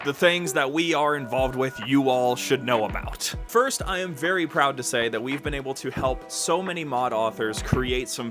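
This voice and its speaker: Enthusiastic man hosting over loud music. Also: medium pitch. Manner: enthusiastic, informal. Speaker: host of a gaming community show. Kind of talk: casual, chatty update narration. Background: background music.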